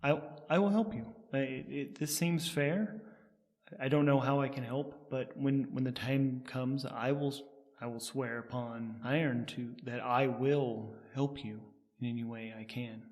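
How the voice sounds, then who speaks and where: A man's voice: mid-range voice, fairly even intonation, measured pace, a little mellow, in a large hall, close to an everyday voice. A man playing an anxious amnesiac in an audio drama, stammering and earnest as he speaks. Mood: hesitant, earnest. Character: anxious, halting amnesiac character confessing and pleading for help. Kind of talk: solo audio drama role-play.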